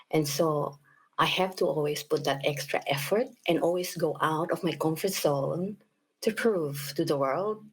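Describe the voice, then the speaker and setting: A woman from the Philippines with a Filipino accent, answering earnestly and in a measured way as a panelist in a discussion. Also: sits low, gravelly, husky, rough recording.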